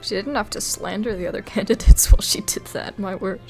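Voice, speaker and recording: Casting: female gameplay commentator, mid-pitched, playful and giggly, casual delivery, light music underneath. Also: fairly quick. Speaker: gameplay video creator. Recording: faint game music behind.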